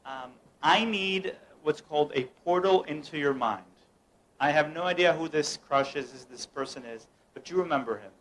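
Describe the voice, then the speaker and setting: A man's voice: fairly high pitch, normal speed, fairly bright tone, a little hiss or hum, low-quality recording. A young man from the United States performing a playful mind-reading act, teasing and directing the people around him. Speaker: mentalist performer. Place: room at a home gathering.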